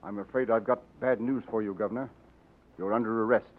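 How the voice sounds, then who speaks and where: An actor playing a formal, deferential governor's aide in a 1940s radio drama. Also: male voice, on the low side, fairly even intonation, brisk, close to an everyday voice. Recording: thin, phone-like old radio recording.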